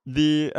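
A man's voice: medium pitch, a little mellow, no echo. A man co-hosting a comedy podcast in casual banter, exasperated and sweary, often repeating himself as he goes.

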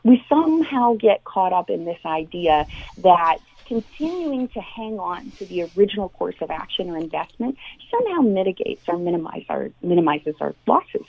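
A woman's voice: fairly low pitch, breathy edge, no echo. A Canadian woman explaining things earnestly and conversationally, using everyday examples, as an expert guest in a radio interview. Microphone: phone call into a radio show. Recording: thin phone-like line.

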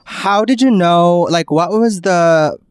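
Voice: mid-range voice, slow, smooth, no echo. Dry-sounding Canadian podcast co-host. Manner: curious and chatty, hesitant with fillers. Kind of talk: casual podcast interview.

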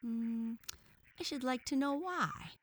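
A woman's voice: high-pitched, slow pace, pitched above the performer's own voice. A woman voicing an eager, indignant young boy who blurts things out earnestly in a children's storybook. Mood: puzzled.

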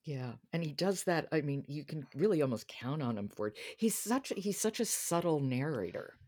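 A woman hosting a podcast in casual chat, briskly prompting her guest with questions. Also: sits low, expressive, darkish tone. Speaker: podcast host.

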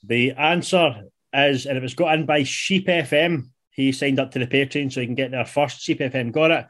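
Man, late twenties, podcast host, medium pitch, relaxed casual chat. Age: 20s. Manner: laid-back, swears, reads riddles slowly.

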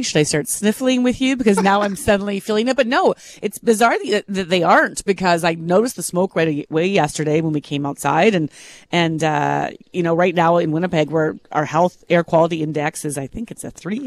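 A man's voice: fairly high pitch, dry recording. A man co-hosting a radio show, talkative and curious in casual chat. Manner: talkative, curious, laughs easily.

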